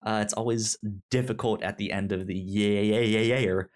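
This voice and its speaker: A man's voice: lowish voice, fairly even intonation, unhurried. A man hosting a podcast in casual conversation, often stuttering and repeating sounds and syllables as he talks. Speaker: podcast host.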